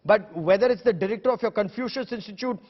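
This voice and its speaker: A man with an Indian accent moderating a TV news debate, pressing guests with emphatic, confrontational rhetorical questions in a husky voice. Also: sits high, fast. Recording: dull lo-fi broadcast audio.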